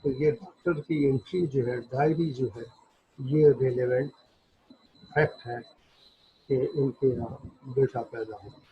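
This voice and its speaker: A man with a Pakistani accent giving an explanatory law lecture, reading passages out deliberately, phrase by phrase, and explaining them. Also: mid-range voice, breathy edge. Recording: dull, lo-fi audio.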